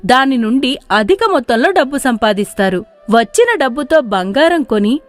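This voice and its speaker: A woman's voice: high-pitched, expressive, moderate pace, soft background music. A woman narrating a scripted moral tale in storybook style.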